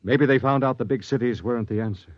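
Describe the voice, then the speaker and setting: Male actor as intense starship captain, earnest and probing, mid-pitched, muffled old radio drama sound with music. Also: fast, no room sound, lightly acted. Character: earnest, driven spaceship captain facing a deadly plague. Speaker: lead actor and narrator. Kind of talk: 1950s radio drama acting, first-person narration. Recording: dull 1950s radio recording.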